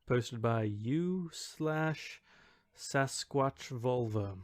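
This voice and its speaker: A man's voice: medium pitch, expressive, drawn-out words, no echo. A man co-hosting a podcast, chatting casually and reading posts aloud in a dry, hesitant, skeptical way. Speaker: podcast co-host.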